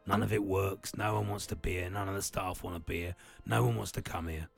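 A man's voice: sits low, fairly even intonation, brisk, vocal fry, soft background music, voice pushed down, exaggerated voice. A man voicing a weary, fed-up man stuck running a failing attraction, glum and mumbling.

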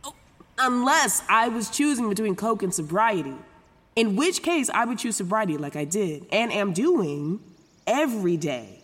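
A woman's voice: medium pitch, very animated, moderate pace. Messy, frank young woman, reverberant sound. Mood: frank, defensive. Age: young adult. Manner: rambling, self-interrupting, sarcastic, casual slangy talk. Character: messy, frank young woman, newly sober, foul-mouthed. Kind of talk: confessional first-person audio drama narration.

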